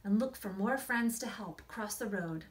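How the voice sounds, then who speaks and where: A woman in her forties, an author reading and explaining things to children in an expressive, emphatic storytelling manner. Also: medium pitch, a little slow. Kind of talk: expressive picture-book read-aloud and explanation to children. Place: small indoor room against a plain wall.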